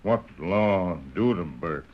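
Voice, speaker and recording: An actor playing a loyal, stoic companion in a radio western, speaking terse, halting broken English. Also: male voice, low-pitched, expressive, full character voice. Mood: stoic, concerned. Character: loyal, stoic Native companion of a masked lawman. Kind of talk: 1940s radio western acting. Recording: muffled, hissy old radio recording.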